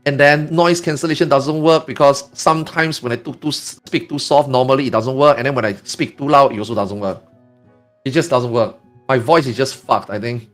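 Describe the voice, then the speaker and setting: A man chatting as a guest on a gaming podcast, talking loud and rambling with many restarts. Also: mid-range voice, steady tone, fairly quick, faint music behind. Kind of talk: casual podcast chat.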